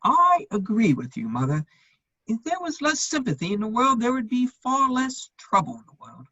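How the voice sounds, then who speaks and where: A woman playing a witty, idle gentleman bachelor in his thirties, arch and drily polite, in a period comedy reading. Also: fairly high pitch, big pitch swings, normal speed, full character voice. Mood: placating. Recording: dull, echoey, lo-fi room sound.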